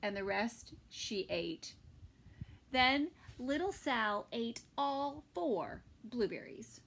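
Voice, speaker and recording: A woman teacher reading a picture book aloud to small children, gentle and unhurried, with soft whispered asides. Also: fairly high pitch, sing-song, gravelly, slight background noise. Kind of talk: children's story time read-aloud.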